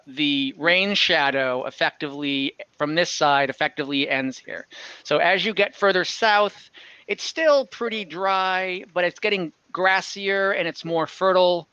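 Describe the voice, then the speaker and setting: A man's voice: a little high, sharp tone, clear, resonant tone, no echo, dull audio. A man running a tabletop game as game master, explaining the world in a conversational, improvised narration over an online call.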